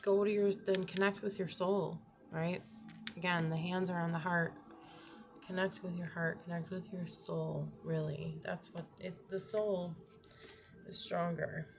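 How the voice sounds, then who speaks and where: A young woman with a slightly raspy, lowish voice, musing through a tarot spread in a casual, thinking-aloud way. She trails off and restarts often. A steady hiss of background noise sits under her voice. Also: unhurried. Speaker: tarot reader. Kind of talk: casual tarot reading to camera.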